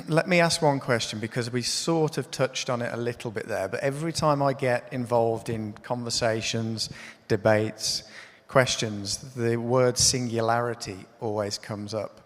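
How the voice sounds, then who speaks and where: A man moderating a conference panel, relaxed and explanatory as he steers the discussion. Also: medium pitch, a little slow. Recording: reverberant conference hall.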